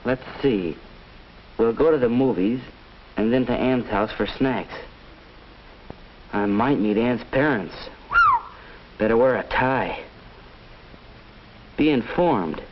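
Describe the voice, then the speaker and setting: Young male actor as high school student, earnest, eager, mid-range voice, 1950s film acting, faint background noise. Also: slow, long pauses, lightly acted. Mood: musing. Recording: muffled old film soundtrack, faint noise.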